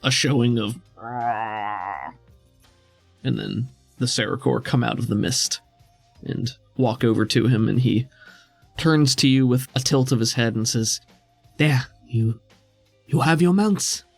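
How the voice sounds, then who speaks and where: A man's voice: medium pitch, fairly even intonation, unhurried, vocal fry, pauses often, breathy edge, no room sound, soft background music. Casual man running a tabletop game, dry sound. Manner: casual, conversational. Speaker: game master. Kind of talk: narrating and explaining a tabletop game.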